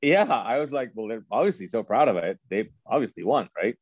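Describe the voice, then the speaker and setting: A man who was born in Kuwait and whose first language is Urdu, telling his life story as a podcast guest in a lively way and acting out past conversations. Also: medium pitch, a little fast, no echo, lo-fi sound. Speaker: guest pastor.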